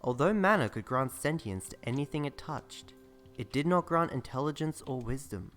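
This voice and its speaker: Man playing solemn lore narrator, video game cutscene, medium pitch, measured storytelling, music behind. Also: lively intonation, a bit bright, lightly acted. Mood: grave, foreboding.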